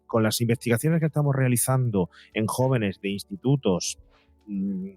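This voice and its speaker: A man's voice: medium pitch, normal speed, a little mellow, no room sound, faint music behind. Man from explaining, dry close sound. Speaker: psychologist and podcaster, interview guest. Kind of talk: explanatory interview talk. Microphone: close podcast mic, seated at a table.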